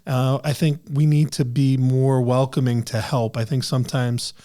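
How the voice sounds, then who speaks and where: A man of middle pitch with a mellow, warm tone, hosting an interview. He rambles and restarts often, leaning on "you know" as he works toward his question. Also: level tone, normal speed. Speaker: podcast host. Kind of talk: casual interview chat.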